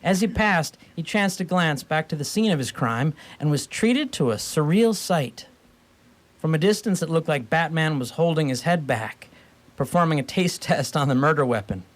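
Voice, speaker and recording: A man's voice: a little high, expressive, normal speed. A man narrating a scripted comic radio story in a wry, mock-formal way.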